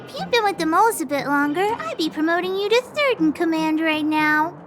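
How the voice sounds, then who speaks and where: A woman's voice: very high voice, sing-song, brisk. A woman playing a bossy, bold little girl gang leader in a video game, self-important and speaking of herself in the third person. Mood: bossy, proud. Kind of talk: video game character acting. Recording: game music behind the voice.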